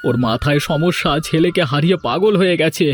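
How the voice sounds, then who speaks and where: A man's voice: a little high, brisk, faint music behind, natural voice. A man playing a grieving, vengeful village father in a cartoon fairy tale, emphatic and melodramatic. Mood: angry, determined.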